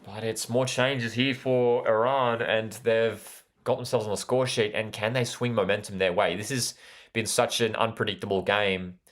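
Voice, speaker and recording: A man's voice: lowish voice, a bit bright. A man with an Australian accent hosting a live football watchalong, commenting on play and chatting with viewers in an enthusiastic way that repeats phrases for emphasis. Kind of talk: live sports commentary with chat interaction.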